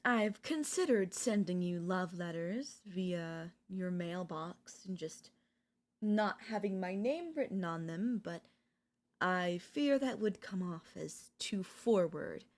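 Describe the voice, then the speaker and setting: Slow-talking, obsessive woman professor, acted by a woman. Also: fairly low pitch, lively intonation, a little mellow. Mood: nervous, confessional. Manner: slow, deliberate, coaxing, with dramatic pauses. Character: obsessive older female professor, sweet turning menacing. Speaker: voice actor. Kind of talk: ASMR audio roleplay acting.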